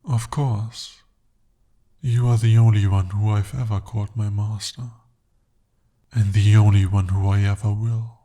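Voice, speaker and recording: A man's voice: lowish voice, little pitch movement, dark tone. A man voicing a devoted, possessive male demon in an ASMR roleplay, speaking formally and intimately. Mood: tender devoted. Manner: formal, intimate, slow-spoken address.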